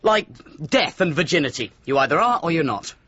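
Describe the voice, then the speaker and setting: A man's voice: fairly high pitch, very animated, brisk, sharp tone, breathy edge, no room sound, dull audio, exaggerated voice. An actor playing a wry, frank young son who teases his father in a British radio comedy-drama, with a dry, direct delivery. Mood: wry, teasing. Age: young adult.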